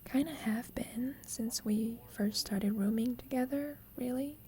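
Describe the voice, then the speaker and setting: Actress for affectionate, teasing young woman roommate with a crush; soft whispery ASMR delivery, highish pitch, unhurried and gentle. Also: natural voice. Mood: tender, confessional. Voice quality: soft, breathy, often whispered. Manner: playful, tender, slow and gentle. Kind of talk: ASMR roleplay, intimate sleep-aid audio.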